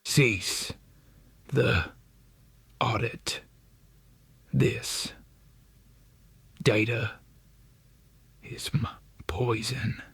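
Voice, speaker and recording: A man voicing a strained, menacing alien official in a science fiction audiobook, with a breathy rasp and a halting, word-by-word delivery. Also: mid-range voice, steady tone, fairly bright tone, no echo, in a whisper, full character voice. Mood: agonized, strained.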